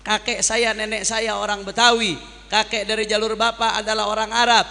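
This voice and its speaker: A man's voice: sits high, flat delivery, moderate pace, gravelly, pushed and bright, long reverb tail. A man preaching a sermon to a congregation as an impassioned orator, using repetition and rhetorical questions. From Jakarta, Indonesia. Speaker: Islamic preacher.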